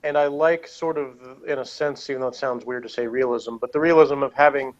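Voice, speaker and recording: Male panelist, mid-pitched, dull lo-fi sound; casual, rambling reviewer who hesitates often. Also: steady tone. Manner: rambling, halting, frequent filler words. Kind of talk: casual opinionated review chat.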